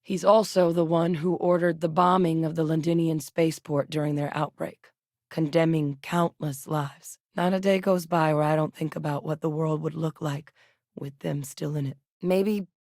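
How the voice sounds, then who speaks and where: A woman voicing an earnest, middle-aged scientist and war veteran in a video game, speaking in a measured, articulate, serious way. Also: sits low, steady tone, a little mellow, no echo, clean studio sound. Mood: troubled, reflective. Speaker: voice actress in a space game. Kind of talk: scripted video game dialogue.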